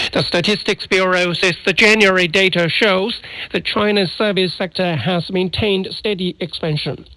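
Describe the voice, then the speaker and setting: A man's voice: high voice, bright, forceful tone, no room sound, low-quality recording. A man reading scripted news as a radio anchor, heard over a shortwave broadcast.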